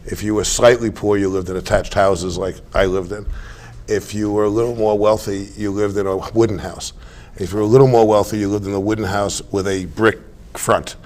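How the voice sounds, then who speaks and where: A man, a guest telling stories in a relaxed, rambling way with many restarts. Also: lowish voice, fairly quick. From Staten Island, New York. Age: 60s. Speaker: interview guest. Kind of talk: casual interview chat.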